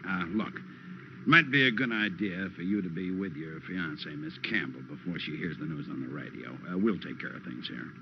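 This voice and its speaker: An actor playing a gruff, dedicated police lieutenant under strain, with an American accent, in an old radio crime drama. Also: male voice, low-pitched, moderate pace. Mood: subdued. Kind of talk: 1940s-50s radio crime drama acting. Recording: muffled, noisy old radio recording.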